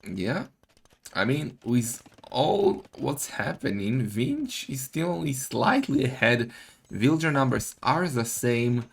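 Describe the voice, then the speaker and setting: A man giving excitable, casual live esports commentary, full of exclamations and questions. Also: medium pitch, slow pace, creaky, slightly rough.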